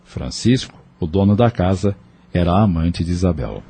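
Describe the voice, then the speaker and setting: A man narrating an audio drama in a measured storytelling manner. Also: deep voice, expressive, mellow, husky.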